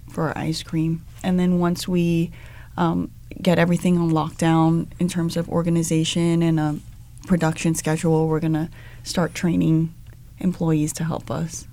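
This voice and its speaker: Steady, low-pitched young woman. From Los Angeles, San Fernando Valley. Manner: measured, steady, with frequent "um". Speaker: ice cream shop co-owner and chef. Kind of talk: casual interview answers.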